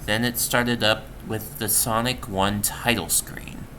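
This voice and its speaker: A man reading a scripted horror story as narrator, somewhat halting with stumbles, on a home recording. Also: fairly low pitch, fairly even intonation, pushed and bright, slight background noise, poor audio. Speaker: storyteller, channel creator.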